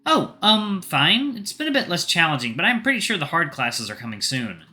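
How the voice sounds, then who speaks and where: A man's voice: on the high side, very animated, moderate pace, sharp tone, faint music behind, pitched above the performer's own voice, heavily acted. A man voicing an awkward young woman in her twenties, a student daughter in an audio story, hesitant and a little defensive.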